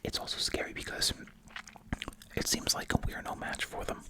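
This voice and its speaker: An ASMR creator speaks entirely in a hushed, breathy whisper. The delivery moves between reading aloud and casual, musing asides, often repeating short phrases. Gum is being chewed throughout the talk. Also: normal speed. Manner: chewing gum while talking.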